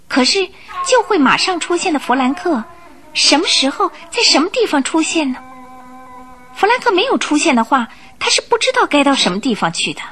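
A woman narrating a radio drama in an expressive, emotive storytelling style from a script. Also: fairly high pitch. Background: music.

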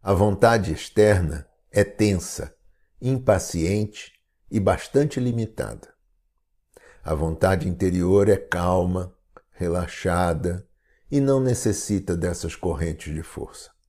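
A Brazilian man narrating a guided meditation in a calm, soothing, deliberate manner. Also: low-pitched.

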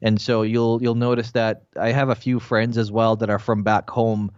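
A man in his forties, born in Bosnia with Croatian as his first language, telling his story as a guest in a relaxed, casual interview. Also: lowish voice, moderate pace, clean tone, dry recording. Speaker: guest, entrepreneur. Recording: dull lo-fi dry sound.

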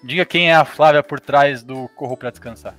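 A man's voice: medium pitch, normal speed, a bit bright, faint music behind. A man hosting a casual podcast, upbeat and joking with his guest. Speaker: podcast host.

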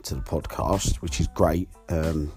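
A hoarse young man hosting his podcast alone, talking candidly and rambling with fillers. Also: rumbling low, measured pace, darkish tone, soft background music. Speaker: podcast host. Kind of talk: solo podcast monologue.

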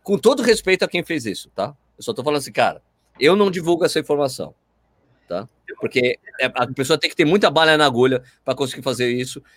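A Brazilian man with a Brazilian Portuguese accent, hosting a casual chat, animated and opinionated, ranting in slang. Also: fairly high pitch, quick pace, no room sound, studio quality. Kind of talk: casual chat about running.

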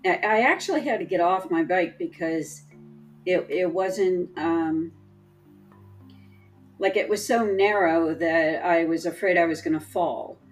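A woman telling a personal story in casual chat, halting and restarting often, with a trained, projecting voice. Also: on the low side, soft background music, rough recording. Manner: slow, halting, many restarts.

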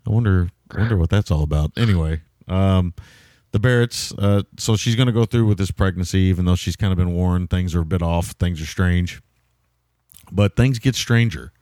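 Low-voiced man chatting about movies. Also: moderate pace, darkish tone, dry recording, studio quality. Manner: rambling, profane, amused. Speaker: podcast co-host. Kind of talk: casual movie review chat.

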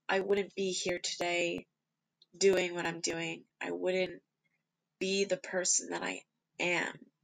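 A young woman speaks alone on her podcast, earnest and self-reflective, often posing questions to herself. Her voice has a gravelly fry running through it and sits at an ordinary middle pitch. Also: a bit bright, low-quality recording. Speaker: podcast host. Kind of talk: reflective solo podcast monologue.

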